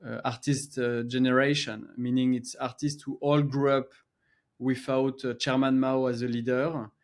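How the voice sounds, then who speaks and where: A man's voice: mid-range voice, level tone. Male guest, French accent, middle-aged, hesitant interview delivery. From France. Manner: halting, many filler pauses and restarts. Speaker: co-founder and gallery guest. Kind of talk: podcast interview.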